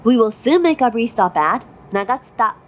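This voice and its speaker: A woman giving formal, measured railway announcements from a script. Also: on the high side, expressive. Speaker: train announcer. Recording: noisy onboard train recording. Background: train running noise.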